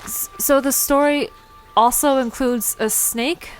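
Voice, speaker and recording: Curious young woman with a highish voice, acted. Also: fairly even intonation, unhurried, faint music behind, poor audio. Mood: curious, puzzled. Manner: inquisitive, informal, wry. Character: Alina, curious young woman visitor, eager and puzzled. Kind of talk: conversational audio drama dialogue.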